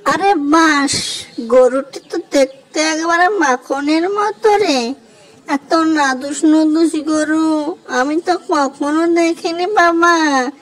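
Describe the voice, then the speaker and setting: A man's voice: very high-pitched, slow pace, a bit bright, pitched above the performer's own voice, heavily acted, rough recording. A man voicing a sly, greedy jackal who savours his scheming words in a children's cartoon story. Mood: admiring, scheming.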